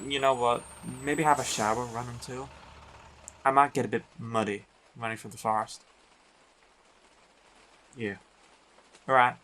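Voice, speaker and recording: A man playing a big, teasing, affectionate biker werewolf boyfriend in an intimate roleplay audio, talking in an unhurried, colloquial way. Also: medium pitch, steady tone, fairly bright tone, a little hiss or hum, natural voice. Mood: affectionate, light.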